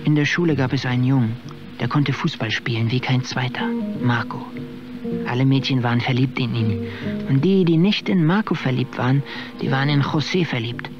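A man's voice: medium pitch, normal speed, lo-fi sound, music in the background, close to an everyday voice. An actor playing a shy, deferential male attendant in a radio drama, hesitant and polite. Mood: wistful. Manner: hesitant, polite, soft-spoken.

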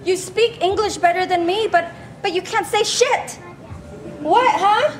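Woman acting high-pitched, scolding immigrant mother. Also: measured pace. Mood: exasperated. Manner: scolding, emphatic, exasperated. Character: scolding, bitter Cambodian refugee mother of grown son. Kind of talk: staged play reading. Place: large glass-walled hall, on stage. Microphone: handheld microphone through PA speaker. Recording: noisy live stage PA recording.

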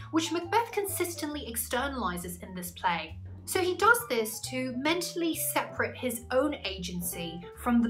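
A woman's voice: fairly high pitch, pushed and bright, low-quality recording. A woman in her twenties giving an articulate, scripted literature lesson to camera in a small room. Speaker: English literature tutor. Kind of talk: scripted educational explanation to camera. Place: small indoor room, plain wall.

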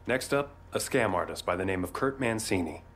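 A wry American marshal in a video game. His voice is very low with a forceful edge, and he talks in a folksy, salty, joking way over some ambient noise. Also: animated, a little fast. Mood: dry, matter-of-fact. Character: laid-back wry male bail marshal handing out bounties.

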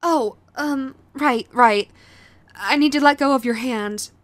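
A woman's voice: high voice, slow, no echo. A woman playing a sweet, clingy, anxious young yandere girlfriend in a romantic audio roleplay, with a stammering, gushing manner. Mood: flustered, adoring. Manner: stammering, breathy gushing, apologetic.

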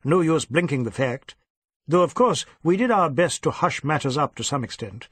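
A man voicing a brisk, proper older Indian father in an audiobook, with a clipped, old-fashioned, matter-of-fact way of talking. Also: a little high, lively intonation, fairly bright tone, breathy edge, no room sound.

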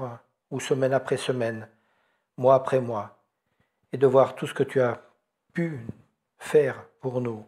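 A middle-aged man prays on a handheld mic in a small church hall. His voice sits at an ordinary pitch, and his pace is steady and earnest. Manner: earnest, measured prayer. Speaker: church member leading prayer. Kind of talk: closing prayer.

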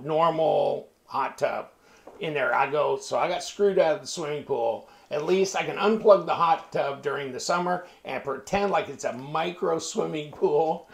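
A man's voice: a little high, creaky. An American man in his sixties chatting casually in a folksy, salty, rambling way. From northern United States. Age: 60s. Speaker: knife channel host. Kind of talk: casual chat. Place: small home office indoors. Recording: roomy, echoey indoor sound.

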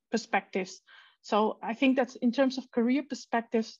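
A woman programme director giving a measured presentation over a video call. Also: a little high, a little mellow, dull audio.

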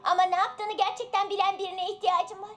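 A woman's voice: extremely high pitch, flat delivery, brisk. A woman voicing a dainty, worried young princess in a children's cartoon dub, dramatic and panicky. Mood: panicked. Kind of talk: animated children's cartoon dubbing. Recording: loud jingly music behind the voice.

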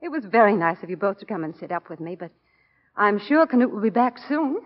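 An actress playing a coach's devoted, polite and lightly teasing wife in a 1940s radio drama. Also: female voice, medium pitch, sing-song, a little fast. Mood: polite, anxious. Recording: muffled old 1940s radio recording.

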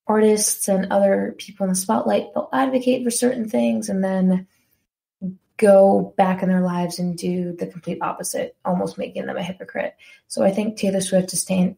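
A young woman hosts a solo music podcast in a chatty, eager way, stopping to correct herself as she goes. Her voice sits at a middling pitch with a mellow, dark tone and a noticeable gravelly fry. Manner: chatty, enthusiastic, self-correcting. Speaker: podcast host. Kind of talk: casual solo podcast talk.

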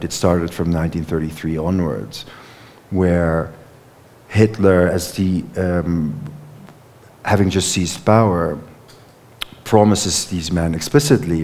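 A man's voice: low-pitched, a little mellow. A Dutch man in his thirties, an author answering questions on stage, talking fluently with many fillers and restarts into a headset mic. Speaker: author and former reporter. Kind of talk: onstage conversation answering questions. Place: stage in a lecture hall. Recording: lecture hall with long reverb.

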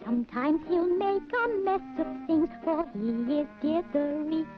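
A woman's voice: high-pitched, animated, measured pace. A woman singing the theme of a 1960s children's show. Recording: dull 1960s film soundtrack, loud music.

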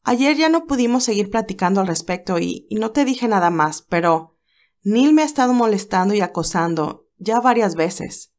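A woman voicing a cheerful but anxious young nurse who talks in a lively, hesitant way. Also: medium pitch, normal speed, natural voice. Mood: worried.

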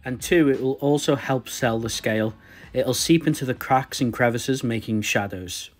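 A young man with a sore throat, quietly talking through how he customizes an action figure. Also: mid-range voice, normal speed. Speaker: toy customizer host. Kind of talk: casual tutorial narration.

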